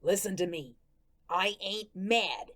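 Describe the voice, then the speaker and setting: A woman's voice: medium pitch, takes it slowly, fairly bright tone. A woman playing the earnest, hapless ghost of a murdered tough guy in a radio drama, talking in folksy slang. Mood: coaxing, reassuring. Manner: folksy slangy speech with dropped grammar and rhetorical questions. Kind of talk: radio drama first-person monologue.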